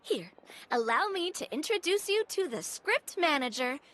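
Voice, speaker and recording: A woman's voice: sits high, very animated, moderate pace, a bit bright. A woman voicing a cheerful, welcoming young woman in a game. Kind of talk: game cutscene voice acting.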